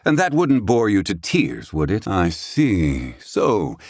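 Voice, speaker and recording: Proud older scholar-mage, low-pitched, formal archaic diction, gruff but kindly, video game character, very dry close recording. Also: male voice, wildly swooping pitch, slow pace, dry recording, exaggerated voice. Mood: teasing, dry. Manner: formal, wordy, archaic phrasing with clipped questioning tags. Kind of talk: English-dub video game voice acting.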